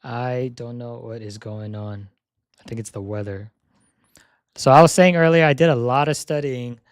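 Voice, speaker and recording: A young man live-streaming a flight sim session, chatting casually and thinking aloud as he sets up, his voice slightly hoarse. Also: medium pitch, normal speed, no echo, studio quality. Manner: repeats "yep" while checking items.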